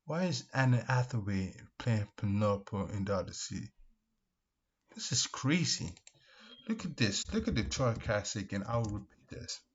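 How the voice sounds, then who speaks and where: A man giving casual opinion commentary as a video host, speaking in an emphatic, repetitive way with halting restarts. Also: lowish voice, sing-song, breathy edge. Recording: dull, lo-fi microphone sound.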